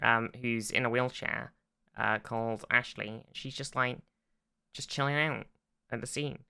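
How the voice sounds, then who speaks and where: Very bright, crisp-voiced man chatting on a podcast. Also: medium pitch, steady tone, unhurried. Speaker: podcast co-host. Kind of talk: casual pop-culture discussion.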